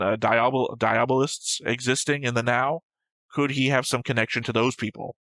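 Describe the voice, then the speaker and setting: Casting: male co-host, forceful bright tone, argumentative and emphatic, casual swearing, close dry sound. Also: medium pitch, no room sound, low-quality recording. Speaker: co-host of an anime reaction show. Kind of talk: casual opinionated discussion.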